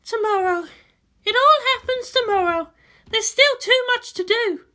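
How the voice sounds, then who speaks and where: A man's voice: very high voice, unhurried, sharp tone, voice pushed up, full character voice. A man voicing a brash, world-weary young woman officer with an emotional, teasing manner in a fan fiction reading. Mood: tense, confident.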